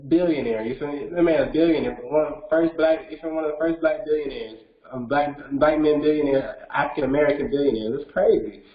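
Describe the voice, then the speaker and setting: A man's voice: fairly high pitch, fast, hardly any pauses. A man in his twenties, a rapper talking in a casual interview, earnest and full of restarts, who keeps saying "you feel me". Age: 20s. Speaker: rapper guest. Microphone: video call. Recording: echoey dull video call audio.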